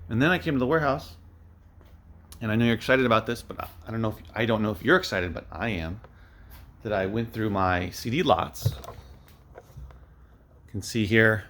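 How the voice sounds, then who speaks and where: A man with a fairly low voice talks through a casual vlog update. He rambles in an unscripted way, thinking aloud as he goes, like a small-time reseller filling viewers in. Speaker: vlogger, online media reseller.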